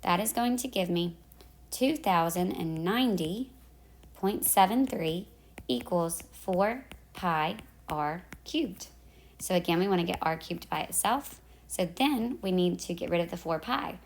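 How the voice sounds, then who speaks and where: Female math teacher, 30s, low and gravelly, voice going hoarse, patient step-by-step tutorial delivery. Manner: talks through calculator steps, self-correcting. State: losing her voice. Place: at home in the evening.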